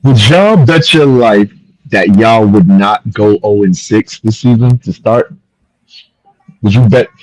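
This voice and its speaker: A man's voice: lowish voice, slow pace, no echo, rough recording. A man hosting a casual sports podcast, needling his guests and hammering home the same point over and over. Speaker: podcast host.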